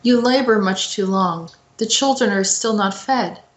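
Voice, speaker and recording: A woman's voice: medium pitch, a little slow, natural voice. A woman playing a worried, reproachful miller's wife in a poem, pleading and scolding. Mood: fearful reproach. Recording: echoey room, dull lo-fi sound.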